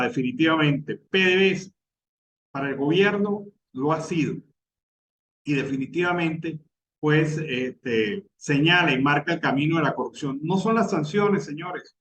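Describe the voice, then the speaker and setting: Venezuelan male radio host, emphatic opinion style, slightly high voice, distant mic, noisy. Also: highs cut off. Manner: emphatic, indignant, building lists. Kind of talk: opinionated news commentary. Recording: distant mic with clear background noise.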